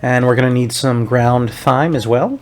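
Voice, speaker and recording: A man's voice: medium pitch, moderate pace, a little mellow, soft background music. A young man from Puerto Rico hosting a casual cooking vlog, walking through a recipe in a chatty, upbeat way. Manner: upbeat, chatty, step-by-step instructions.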